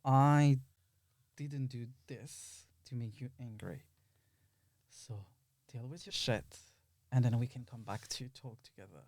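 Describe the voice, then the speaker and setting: Mellow man chatting candidly, close dry sound. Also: medium pitch, steady tone, a little slow, no room sound. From Belgium. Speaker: podcast guest, filmmaker. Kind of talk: casual interview chat.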